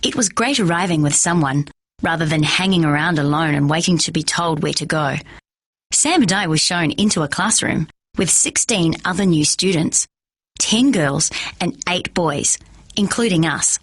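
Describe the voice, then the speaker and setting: A woman with a husky voice reading a children's novel aloud in steady first-person narration. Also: deep voice, moderate pace, dry recording. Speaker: storyteller.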